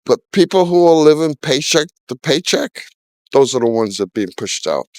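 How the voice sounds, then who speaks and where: A man's voice: a little high, big pitch swings, moderate pace, darkish tone, dry recording. A man in his sixties, originally from Trinidad and Tobago, giving candid, opinionated answers in a casual street interview, with lots of "you know.". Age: 60s.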